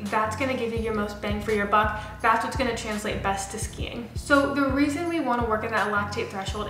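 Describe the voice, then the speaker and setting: A young woman speaks in a fairly high voice, explaining training casually and directly like a fitness coach. She is recorded in a bare, echoey room, with music underneath. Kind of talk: explanatory talking-head fitness advice to camera. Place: small bare room indoors with a window. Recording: roomy indoor echo, music underneath. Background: background music.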